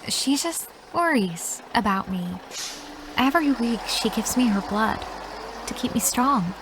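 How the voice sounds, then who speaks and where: Slow, soft-spoken sheltered teenage girl. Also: a little high, lively intonation, background noise. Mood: defensive, trusting. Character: frail, sheltered teenage girl, trusting and wistful. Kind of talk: audio drama acting.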